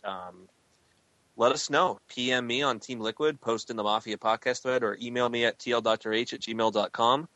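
A man's voice: medium pitch, fairly even intonation, normal speed, bright, forceful tone, dry recording, dull audio. A man hosting a podcast, chatting loosely with a rambling style and many fillers. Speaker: podcast host. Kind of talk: casual podcast chat.

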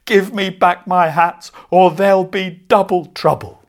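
A man's voice: sits high, fairly even intonation, unhurried, voice pushed up. A man voicing an exasperated hat seller in a storybook tale, exclaiming theatrically. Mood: angry.

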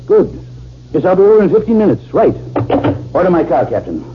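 General, tinny old radio sound. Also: male voice, high voice, very animated, over music. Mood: grave, commanding. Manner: measured, authoritative, earnest. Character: earnest, concerned male army general. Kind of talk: 1950s radio drama acting.

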